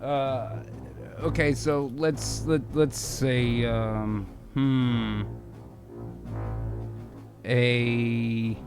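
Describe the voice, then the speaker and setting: A man hosting a casual live stream, brainstorming out loud in a halting way, trailing off into hums as he mulls over words. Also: medium pitch, steady tone, over music. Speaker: host of a live streamed improv show. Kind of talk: casual brainstorming, thinking aloud.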